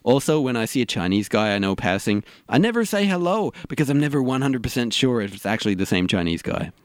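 A man's voice: medium pitch, sing-song, fast talker, no room sound, studio quality, natural voice. A man playing a lonely, self-mocking middle-aged dungeon master in an audio sitcom, wry in manner. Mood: wry confession.

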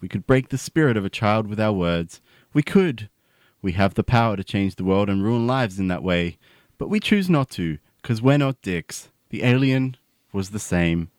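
A man playing a sincere, plain-spoken mourner in a comedy radio drama, earnest and measured. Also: lowish voice, expressive, darkish tone, dry recording, clean studio sound, natural voice.

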